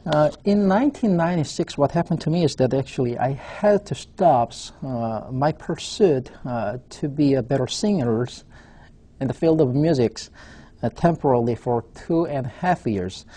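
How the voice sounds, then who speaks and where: A man in his forties from South Korea with a Korean accent, a professor giving a webcast lecture in a halting way, filling his pauses with "uh". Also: medium pitch, lively intonation, mellow, husky. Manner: halting, frequent "uh" fillers. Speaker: university professor.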